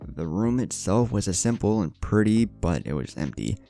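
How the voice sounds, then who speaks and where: Deep-voiced man narrating fan fiction. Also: very animated, normal speed, vocal fry, mellow. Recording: music under the voice.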